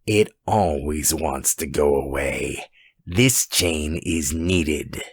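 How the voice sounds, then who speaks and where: A quiet, secretive English gentleman from a horror audiobook, acted by a male narrator. He speaks slowly and seriously in a deep, creaky voice. The sound is close and dry. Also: dry recording, exaggerated voice. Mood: grave. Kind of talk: dramatic audiobook character reading.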